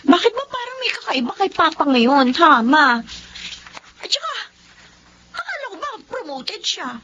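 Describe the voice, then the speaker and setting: High, swooping young daughter. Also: female voice, big pitch swings, moderate pace, a bit bright, exaggerated voice. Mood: questioning, worried. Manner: questioning, plaintive. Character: anxious, inquisitive student daughter, young. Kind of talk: dramatic Filipino radio drama acting. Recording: muffled, faintly noisy radio drama audio.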